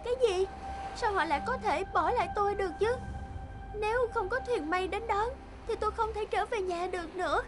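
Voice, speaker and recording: A sweet, anxious young fairy maiden from a cartoon fairy tale, voiced by a woman. She is very high in pitch and plaintive, with music clearly underneath. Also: fairly quick. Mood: tearful, despairing. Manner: plaintive, exclaiming.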